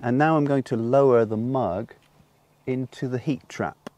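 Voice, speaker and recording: A man's voice: medium pitch, measured pace, darkish tone, a little hiss or hum. An older man with a lapel mic, explaining a demonstration outdoors in a garden in a patient way, with frequent ums. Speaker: solar cooking presenter. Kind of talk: unscripted demonstration.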